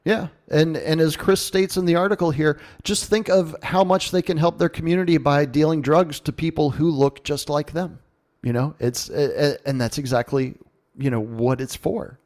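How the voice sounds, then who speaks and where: A man's voice: on the high side, darkish tone. A man hosting a radio show, giving informal, sarcastic and mocking commentary on the news. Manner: informal, salty language. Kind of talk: news commentary show, reading and riffing on an article.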